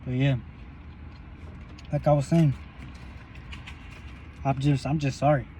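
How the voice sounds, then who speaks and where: A man's voice: mid-range voice, little pitch movement, takes it slowly, warm tone, a little hiss or hum. A young man talking while he eats in a parked car, in a loose, rambling and profane way for his vlog. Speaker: vlogger. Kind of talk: casual eating vlog monologue.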